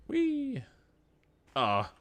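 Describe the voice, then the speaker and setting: A man's voice: very high voice, huge pitch swings, extremely slow, a bit bright. A man streaming a game live, chatting casually, laughing often and answering his viewers as he plays. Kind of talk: casual live gameplay commentary.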